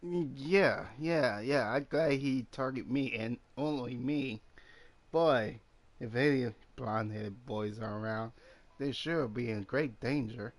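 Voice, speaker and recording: A man's voice: mid-range voice, takes it slowly. A man giving casual, joking commentary while he plays a game. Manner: joking, informal. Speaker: gaming streamer.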